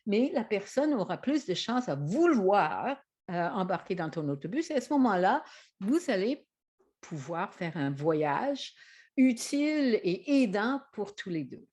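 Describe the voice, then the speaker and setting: A woman's voice: medium pitch, big pitch swings, unhurried, darkish tone. English-Canadian woman speaking careful French. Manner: hesitant with frequent fillers. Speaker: trainer and consultant. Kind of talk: presentation to camera.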